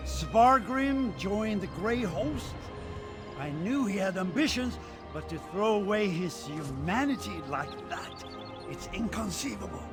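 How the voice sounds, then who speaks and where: Blunt, proud visiting king. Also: high voice. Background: game music.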